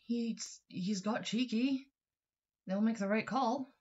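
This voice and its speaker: A woman's voice: a little high, slow pace, a little mellow, slightly rough. A British woman playing a reluctant, mouthy villain's sidekick in an audio roleplay. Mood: reluctant banter. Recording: slightly muffled, dull audio.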